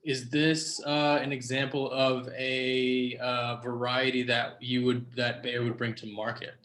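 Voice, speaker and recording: A man's voice: medium pitch, little pitch movement, unhurried, a bit bright. A man moderating a video-call session, asking brief polite questions. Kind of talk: casual video-call chat. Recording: echoey room on a call.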